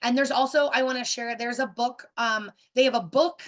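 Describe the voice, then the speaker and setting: Brief: woman, 30s, webinar host, fairly high voice, big direct energy, casual teaching talk with fillers. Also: steady tone, moderate pace.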